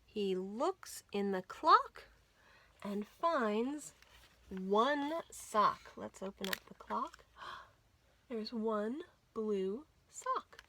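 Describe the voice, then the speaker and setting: A woman hosting a children's storytime, reading picture books aloud in an expressive, sing-song way. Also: mid-range voice, drawn-out words, a little hiss or hum. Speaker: children's library storytime host. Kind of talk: animated picture-book read-aloud for young children.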